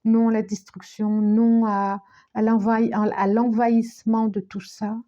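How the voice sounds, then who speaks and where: A woman with a cold giving a tarot reading, speaking slowly with many restarts and repetitions, sometimes dropping to a near-whisper. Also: fairly high pitch, level tone, mellow, dull audio. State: has a cold, coughing. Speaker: tarot card reader.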